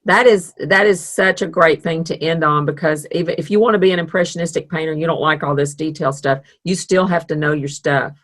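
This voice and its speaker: Woman, low voice, friendly art instructor on a video call, casual and encouraging. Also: brisk, few pauses, a bit bright. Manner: chatty, self-deprecating, upbeat. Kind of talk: online art class teaching.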